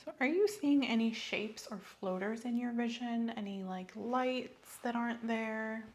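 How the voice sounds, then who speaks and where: A soft-spoken woman playing a doctor in an ASMR medical roleplay, asking methodical questions in a reassuring, apologetic way. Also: fairly high pitch, unhurried. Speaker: ASMR host playing a doctor giving an eye exam.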